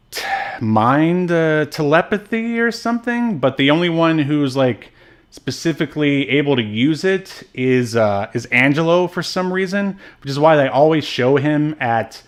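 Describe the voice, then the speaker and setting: A man co-hosting a podcast, chatting casually about films in a halting, rambling way. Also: fairly high pitch, lively intonation. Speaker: podcast co-host. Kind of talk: casual movie discussion chat.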